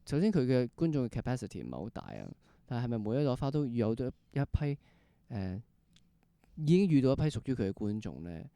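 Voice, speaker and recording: Man, Hong Kong Cantonese accent, podcast host, soft mellow tone, hesitant phrasing, some English, dry sound. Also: medium pitch, sing-song, brisk, dry recording. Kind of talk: casual interview chat.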